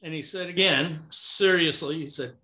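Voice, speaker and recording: A man's voice: a little high, moderate pace. A man from Cuba, a guest author, telling stories in a casual interview over a remote call and talking with his hands. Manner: animated storyteller, talks with his hands. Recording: echoey, muffled call audio.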